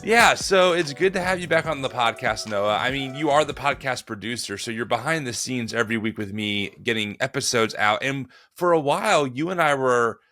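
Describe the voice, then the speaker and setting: A man hosting a casual podcast, talking in a chatty storytelling way with a slightly hoarse voice. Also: mid-range voice, animated, normal speed, hardly any pauses, pushed and bright, soft background music. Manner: chatty storyteller, many restarts and "dude". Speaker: podcast host.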